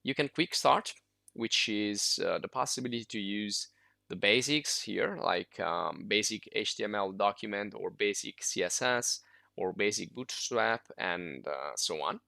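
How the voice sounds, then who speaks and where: A man whose first language is Italian, talking through a screen-recorded software tutorial casually, with many fillers and restarts. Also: lowish voice, unhurried, creaky, no room sound, clean studio sound. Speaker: software instructor.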